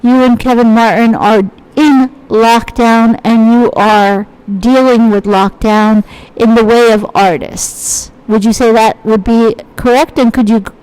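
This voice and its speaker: A woman's voice: a little high, steady tone, measured pace, darkish tone, smooth, rough recording. A woman interviewer asking unscripted questions, feeling her way hesitantly through long, spontaneous phrasing.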